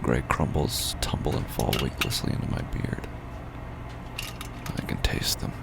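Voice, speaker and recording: An actor playing a broken, haunted man who narrates horror audio drama slowly and grimly, his gravelly voice often sinking into a whisper. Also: loud music behind the voice, heavily acted. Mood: bleak, resigned. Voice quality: very deep gravelly fry, often breaking into whisper. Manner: slow, grim, deliberate delivery.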